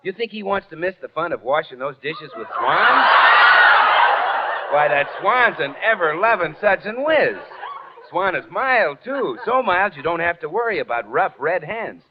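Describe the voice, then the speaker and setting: An American man playing a breezy pitchman in a 1940s radio comedy. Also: very animated, moderate pace. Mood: breezy, salesy. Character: breezy male radio pitchman plugging soap. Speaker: announcer and comic player. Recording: muffled, noisy 1940s radio recording with clicks.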